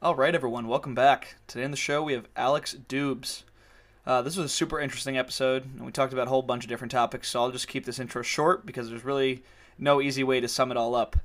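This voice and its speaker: A man's voice: medium pitch, steady tone, fast talker, fairly bright tone. A man hosting a podcast, talking in a laid-back, casual way with loose fillers. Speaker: podcast host.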